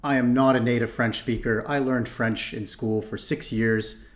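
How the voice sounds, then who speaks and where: A man's voice: mid-range voice, level tone, normal speed. A man from Ontario hosting a reaction video, chatting casually and thinking aloud with frequent restarts. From Canada (Ontario). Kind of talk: casual commentary.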